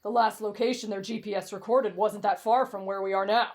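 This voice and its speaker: Woman acting a cocky, blunt young man, lowered voice. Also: fairly even intonation, brisk, close to an everyday voice. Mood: eerie storytelling. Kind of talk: anime fan roleplay audio drama.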